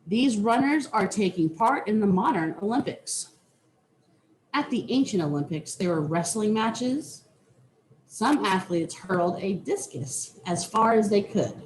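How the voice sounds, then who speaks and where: A woman reading a children's nonfiction book aloud with deliberate, teacherly phrasing. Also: medium pitch, animated, slight background noise, low-quality recording. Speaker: reader.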